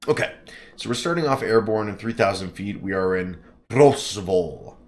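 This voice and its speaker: A man's voice: fairly low pitch, expressive, normal speed. A man streaming a flight simulator, chatting casually and reading game text aloud with jokes and stumbles over place names.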